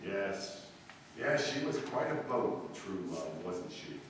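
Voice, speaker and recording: A man's voice: sits low, very animated, slow, full character voice. Echoey, wry, breezy society man. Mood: gently bantering. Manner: breezy, bantering. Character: Dexter, wry, teasing, self-assured society ex-husband. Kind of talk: 1940s radio play acting. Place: live staged radio-play performance in a hall. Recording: roomy, echoey distant live stage recording, faint noise.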